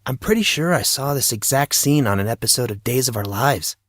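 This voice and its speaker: A man's voice: mid-range voice, animated, brisk, a bit bright, no echo, clean studio sound, voice pushed up, lightly acted. A man playing an awkward fourteen-year-old schoolboy who talks in flippant wisecracks, in an audio drama. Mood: wry.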